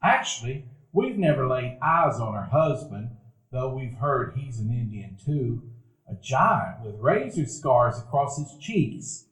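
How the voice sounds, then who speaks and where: A man narrating a live stage radio play in a warm, reminiscing storytelling manner. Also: medium pitch, very animated, unhurried. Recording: echoey room, live audience.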